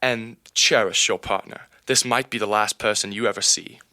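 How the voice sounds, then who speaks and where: Proper English butler, commanding and theatrical, bright low tone, played by a high school boy. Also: steady tone, normal speed. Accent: put-on English.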